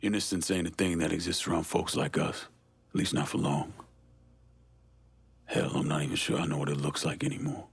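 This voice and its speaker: A man's voice: low voice, fairly quick, pauses often, full character voice. A man playing a world-weary, hardened war veteran turned criminal in a video game, with a blunt, laconic manner. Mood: weary, cynical. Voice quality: gravelly, husky. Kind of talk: dramatic video game dialogue.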